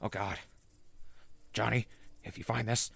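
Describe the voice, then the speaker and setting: A man playing an excitable, jittery wasteland survivor who records a rambling, dramatic radio diary in an audio drama, with a gravelly voice. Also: lowish voice, no room sound, full character voice. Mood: panicked. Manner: rambling, dramatic, with hesitant pauses.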